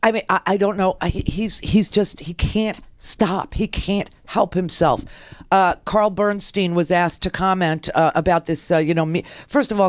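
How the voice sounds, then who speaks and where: A woman's voice: lowish voice, no room sound, studio quality. A woman hosting a political talk radio show, sarcastic and combative, heckling the clips she plays.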